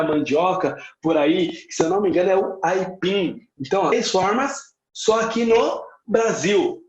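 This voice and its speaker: A Brazilian man with a Brazilian Portuguese accent and a rather high voice gives an emphatic teaching talk, repeating key points and slipping in English words. He sounds like he is in an echoey room, and the audio is a bit muffled.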